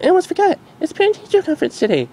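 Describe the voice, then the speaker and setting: A man voicing an excitable, sweet six-year-old unicorn filly in an amateur cartoon fan-fiction reading, gleeful and pleading. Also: very high voice, racing, voice pushed up, heavily acted. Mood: eager.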